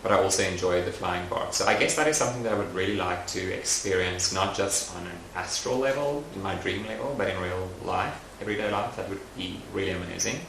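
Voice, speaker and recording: Young adult man, documentary interviewee, low pitch, gravelly, unhurried and reflective. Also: slight background noise. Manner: thoughtful, soft-spoken, frequent fillers. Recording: roomy echoey recording.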